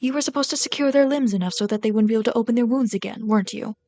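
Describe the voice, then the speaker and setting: A woman's voice: a little high, very fast, lightly acted. Woman acting as a bitter, scolding villain queen. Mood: impatient, commanding. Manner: scolding, sardonic, exasperated, dropping to hushed asides. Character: bitter, caring female villain rat queen tending a captive sidekick. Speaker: voice actor in an audio roleplay. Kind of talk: ASMR roleplay acting.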